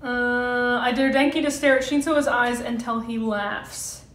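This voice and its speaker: A young adult woman cosplayer chatting excitably on a livestream, swearing and handing out dares. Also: fairly high pitch, unhurried, recorded from a distance. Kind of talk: casual livestream chat. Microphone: phone livestream. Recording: echoey room, off-mic.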